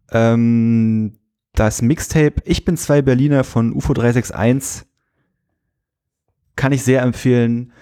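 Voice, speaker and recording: Relaxed man chatting about music. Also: mid-range voice. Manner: relaxed, hesitant, with many fillers. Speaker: podcast co-host. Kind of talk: casual chat about music.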